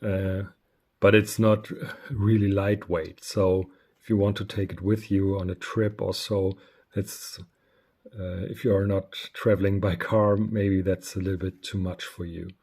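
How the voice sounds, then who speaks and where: A man giving a casual, unscripted product review, speaking haltingly with frequent pauses. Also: low voice, level tone, darkish tone, husky. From Germany. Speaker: radio reviewer.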